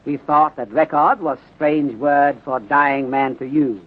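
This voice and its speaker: A man playing a courteous, methodical Chinese detective inspector in 1930s radio drama style, speaking formally in clipped phrasing with deliberate pauses and dropped articles. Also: fairly high pitch. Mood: polite, assured. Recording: muffled, narrow-band 1930s radio recording.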